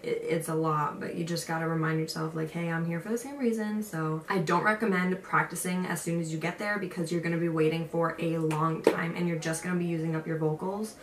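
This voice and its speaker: Young female vlogger, lowish voice, informal and chatty storytelling, echoey room with faint noise. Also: steady tone, a little fast, hardly any pauses. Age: young adult. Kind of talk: casual storytelling to viewers with advice. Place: indoor room with a picture on the wall. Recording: roomy echo, distant mic, faint noise.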